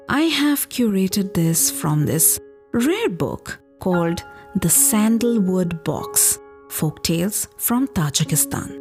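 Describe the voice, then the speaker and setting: Slow, animated woman storyteller. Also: lowish voice. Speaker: podcast host and storyteller. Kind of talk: expressive folktale storytelling narration.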